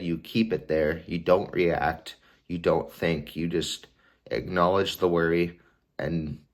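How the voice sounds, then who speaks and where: A man in his twenties with a congested voice from a cold, calmly giving casual advice to the camera in an unhurried way, with fillers and restarts. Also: low voice. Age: 20s. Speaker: vlogger sharing mental health tips.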